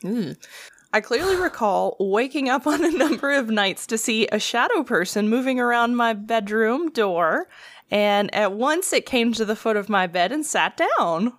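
A woman co-hosting a podcast, reacting with short exclamations and reading a spooky story in a casual, expressive way. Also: fairly high pitch, normal speed, no echo, studio quality. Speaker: podcast co-host.

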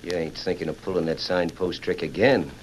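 A man's voice: sits low, steady tone, a little fast, lightly acted. An actor playing an uneasy, skeptical outlaw henchman in an old-time radio western, grumbling in slangy talk. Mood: doubtful. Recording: noisy vintage radio recording.

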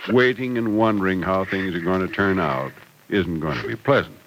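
Gravelly, fatherly man in radio soap. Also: low-pitched, moderate pace, fairly bright tone. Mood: soothing. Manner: soothing, thoughtful. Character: kindly, fatherly male confidant, calm and reassuring. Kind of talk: 1940s radio soap opera acting. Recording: noisy old radio broadcast recording.

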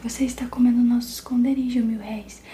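A woman's voice: on the high side, fairly even intonation, fast, mellow, reverberant, a little hiss or hum, natural voice. A woman whispering the lines of a worried young boy on the run in a storybook reading. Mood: earnest.

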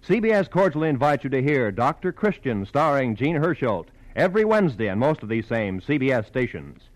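Animated 1940s male radio announcer. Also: mid-range voice, brisk, dry recording, rough recording.

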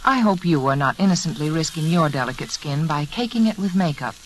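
A woman with a slightly low voice reads a 1940s radio commercial. She is measured, earnest and persuasive, over faint recording noise. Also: expressive, poor audio. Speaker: beauty adviser in sponsor advertisement.

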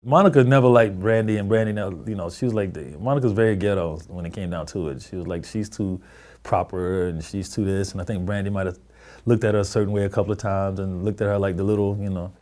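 A man's voice: sits low, quick pace. A man, a record producer, casually telling backstage gossip in an interview clip. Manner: gossipy, animated retelling with fillers.

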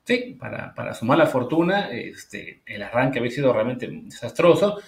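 A man's voice: mid-range voice, big pitch swings, moderate pace, gravelly. Man chatting in an echoey room. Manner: conversational, loose with filler words. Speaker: podcast co-host. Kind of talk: casual sports chat.

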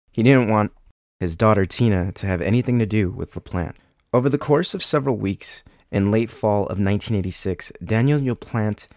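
A man's voice: a little mellow, dry recording, clean studio sound. Young man hosting, a little sick. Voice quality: deeper than usual from illness. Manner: casual, with ums and likes. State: sick with a cold. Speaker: podcast host. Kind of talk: true crime podcast narration.

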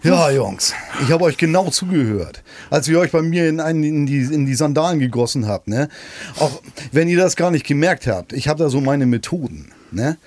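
Man acting a husky, folksy pub landlord. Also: a little high, lively intonation, normal speed, darkish tone, no room sound. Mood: confiding, proud. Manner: folksy, rambling, tags sentences with "ne". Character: rough, folksy male pub landlord, boastful rescuer. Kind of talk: comic radio play acting.

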